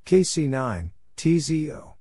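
Guy slowly spelling out letters and short scripted bits, very dry close sound, practice drill narrator. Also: a little high, sing-song, mellow, breathy edge, dry recording. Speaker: Morse code practice narrator. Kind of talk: scripted read-out of short phrases.

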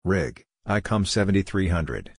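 A man's voice: low-pitched, expressive, unhurried, slightly rough, no room sound. A man reading short, separate scripted phrases as an instructor for a listening drill. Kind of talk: scripted phrase readout for Morse code drills.

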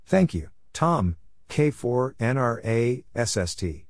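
A man reading scripted practice material as a narrator, measured and spelling out letters and numbers one at a time. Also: medium pitch, big pitch swings, no echo, studio quality. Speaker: narrator of Morse code practice. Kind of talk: scripted readout of callsigns and exchanges.

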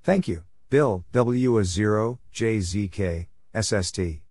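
A man reading scripted short exchanges as a practice narrator, deliberately spelling out letters and numbers one at a time. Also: on the low side, a little mellow, a little hiss or hum. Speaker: narrator of Morse code practice.

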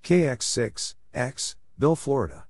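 A man's voice: mid-range voice, a little slow, darkish tone, no room sound, studio quality. A man reading out radio code practice exchanges, spelling letters and numbers one at a time in short separate phrases. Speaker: Morse code practice narrator. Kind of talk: spoken readout for code practice.

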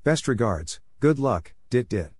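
A man reading scripted radio practice exchanges as an instructor, in short, deliberate, repeated phrases. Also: mid-range voice, very animated, slightly rough, dry recording, clean studio sound. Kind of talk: scripted radio call-sign drill, short spoken phrases.

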